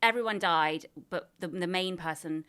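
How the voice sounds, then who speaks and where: Blunt woman, halting speech. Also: on the low side, fairly bright tone. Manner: blunt and swearing, halting when telling a story. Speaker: podcast co-host. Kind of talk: casual chat.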